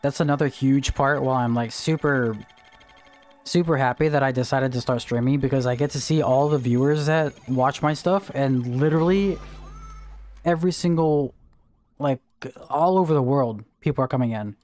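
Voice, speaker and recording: A man's voice: mid-range voice, normal speed, a little mellow. Chatty young man streaming a game. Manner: chatty, greets viewers and explains game items. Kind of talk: casual livestream chat while gaming.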